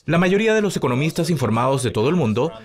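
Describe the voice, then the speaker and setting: A man's voice: a little high, sing-song, rapid-fire. A man hosting a financial news show, delivering dubbed commentary with sarcastic, mocking banter. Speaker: show host.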